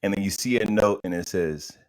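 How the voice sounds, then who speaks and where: A man's voice: sits low, fairly quick, crowd noise. A man co-hosting a fan podcast, chatting casually and offering commentary. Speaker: podcast co-host and actor.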